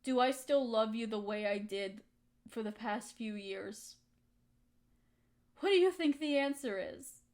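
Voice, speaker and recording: High pitched young woman voice actress doing emotional audio roleplay, wounded, accusing her friend she loves. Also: measured pace, pauses often, a little mellow, natural voice. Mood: subdued, wary. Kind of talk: dramatic audio roleplay monologue.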